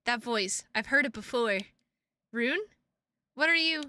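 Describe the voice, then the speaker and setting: Bright-voiced, playful woman streamer. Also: on the high side, expressive, a little slow, no room sound. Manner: playful, goofy, exclamatory asides. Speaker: streamer hosting a visual novel playthrough. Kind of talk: reading game text aloud with casual chat to viewers. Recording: music behind the voice.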